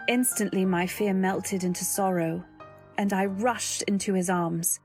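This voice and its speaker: A woman narrating and acting a young wife in an old-time radio drama, earnest, anxious, and gentle. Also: medium pitch, fairly even intonation, unhurried, faint music behind. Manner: earnest first-person storytelling, anxious and gentle. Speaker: narrator and actor.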